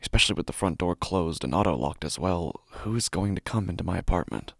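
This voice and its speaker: An actor playing an anxious, obsessive man narrating his sleepless nightmares in a halting horror audio monologue. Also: low-pitched, lively intonation, creaky, husky, dry recording, studio quality, exaggerated voice. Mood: obsessive unease. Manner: halting, hushed, often breaking into whispers. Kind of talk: first-person horror audio fiction monologue.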